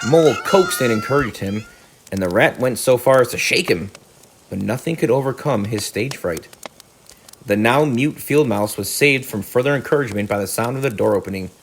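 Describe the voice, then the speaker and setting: A man's voice: mid-range voice, moderate pace, a little hiss or hum, poor audio. A man narrating a children's storybook as a teacher reading aloud.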